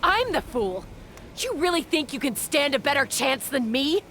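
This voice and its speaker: A woman's voice: high-pitched, big pitch swings, moderate pace, a bit bright, a little hiss or hum, heavily acted. An actress playing a bold, stubborn young female peacekeeper in a video game, with a folksy, brash and protective manner. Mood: desperate, defiant.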